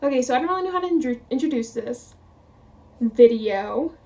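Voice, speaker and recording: A woman's voice: high voice, animated, fairly quick, recorded from a distance, loud music behind the voice. A woman of twenty talking casually to camera as a vlogger, rambling, quoting her own thoughts and laughing often. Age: 20s.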